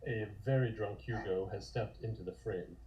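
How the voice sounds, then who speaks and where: Low, mellow male narrator. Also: moderate pace, slight background noise, low-quality recording. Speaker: narrator reading stage directions. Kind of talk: scripted narration.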